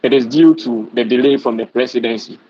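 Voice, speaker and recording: A young man with a Ghanaian accent speaks at a medium pitch in a formal parliamentary register. His delivery is measured and deferential, carried over a dull, lo-fi online call. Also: dark tone, breathy edge. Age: young adult. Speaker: committee member in a youth parliament.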